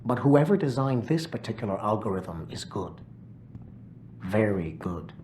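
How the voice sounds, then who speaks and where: Snide corporate tech expert. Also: male voice, lowish voice, sing-song, darkish tone. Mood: grudging. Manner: smug, deliberate, sarcastic. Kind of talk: video game cutscene acting. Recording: background noise.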